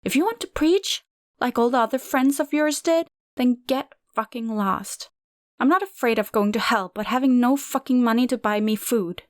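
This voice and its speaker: A woman's voice: fairly high pitch, animated, moderate pace, no room sound, studio quality, close to an everyday voice. A woman reading a storybook who voices a bitter, foul-mouthed aunt in her fifties, barking and cursing at a young visitor. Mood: angry scornful.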